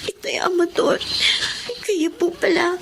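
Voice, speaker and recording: A very high female voice plays a battered young wife in a radio drama. She is emotional, weary and pleading, on a clearly noisy recording. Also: fairly even intonation, a little slow, exaggerated voice. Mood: weary, troubled. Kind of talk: Tagalog radio drama acting.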